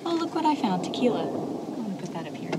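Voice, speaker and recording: A woman's voice: mid-range voice, sing-song, fast talker. A middle-aged woman cooking in a camper van, chatting and muttering to herself as she works on camera. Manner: chatty, thinks aloud, mutters to herself while working. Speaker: camping vlogger. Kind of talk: casual hands-on demo. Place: inside a parked camper van. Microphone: camera mounted inside the van. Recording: loud background noise in a van.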